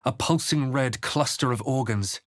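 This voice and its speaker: A man playing a grave, watchful fantasy adventurer in a video game, narrating in the first person with measured, dramatic delivery. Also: medium pitch, no echo, studio quality, natural voice. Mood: grave narration.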